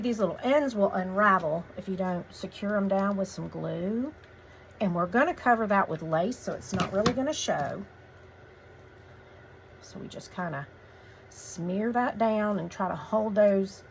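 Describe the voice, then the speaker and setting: Woman, slightly croaky from a cold, chatty craft tutorial host, easygoing step-by-step instructions, mid pitch, faint background noise. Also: rough recording. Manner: relaxed, chatty instructions with fillers.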